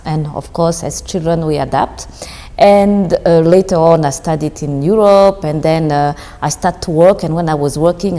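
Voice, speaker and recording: A woman in her forties, a company CEO, answering interview questions thoughtfully, with pauses and restarts. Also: lowish voice, vocal fry, warm tone, in a large hall. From Belgium, Rwanda and DR Congo. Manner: thoughtful, halting, with frequent restarts and uh's. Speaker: CEO of a solar company, guest.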